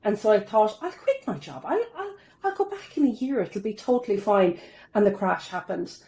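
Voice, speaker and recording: A woman in her forties chats with an upbeat, lively manner. She tells stories with self-deprecating humour as a podcast guest, at a medium pitch. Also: normal speed, dull audio. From Ireland. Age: 40s. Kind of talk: casual interview storytelling.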